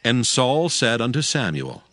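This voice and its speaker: A man's voice: mid-range voice, sing-song, a little slow, fairly bright tone, no room sound. A man narrating a dramatized scripture reading in a scripted storytelling style.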